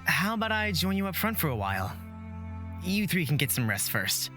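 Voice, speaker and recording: A woman voicing a polite, hesitant young traveler in a fantasy visual novel, earnest and gentle. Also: on the low side, very animated, normal speed, over music.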